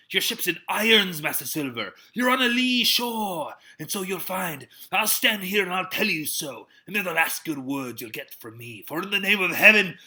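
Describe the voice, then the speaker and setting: A man's voice: on the high side, bright, forceful tone, pitched above the performer's own voice, heavily acted. A man voicing a stern, proud sea captain who speaks with clipped authority, as in an adventure storybook. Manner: clipped, authoritative orders and defiance.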